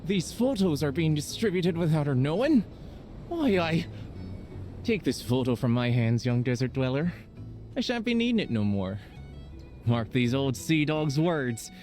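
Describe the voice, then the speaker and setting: Old salty game sailor: chivalrous bluster, archaic pirate talk, swinging pitch. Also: male voice, big pitch swings, unhurried, a little mellow, faint music behind. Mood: indignant.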